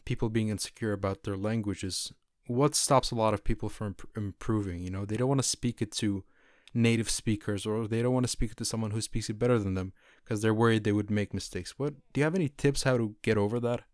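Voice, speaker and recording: A woman's voice: a bass voice, fast talker, darkish tone, no echo, clean studio sound. A woman hosting an interview, encouraging her guest and asking follow-up questions. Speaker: podcast host. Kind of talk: casual interview conversation.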